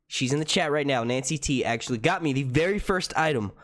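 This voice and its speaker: A young man hosting a casual live stream at home into a desk microphone, chatty and profane, with a naturally loud, carrying voice. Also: medium pitch, expressive, brisk. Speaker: YouTube live stream host. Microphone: desk mic.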